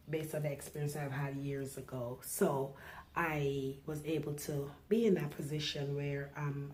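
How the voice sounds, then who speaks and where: A middle-aged woman from Guyana, a nurse, speaking softly and reflectively in a personal interview, pausing often with fillers. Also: low voice, slight background noise. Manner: soft-spoken, reflective, halting with fillers.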